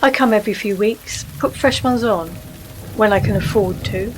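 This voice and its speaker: A woman's voice: mid-range voice, moderate pace, noisy background, close to an everyday voice. A woman acting in a radio play as a wistful, reproachful old friend, plain-spoken and probing. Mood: subdued, probing.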